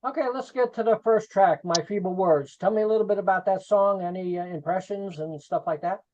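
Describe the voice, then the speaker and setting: A host asking short, casual questions about an album track by track, with brief affirming replies. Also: male voice, high-pitched, brisk, warm tone, highs cut off, slight background noise. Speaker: interview host. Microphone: video call.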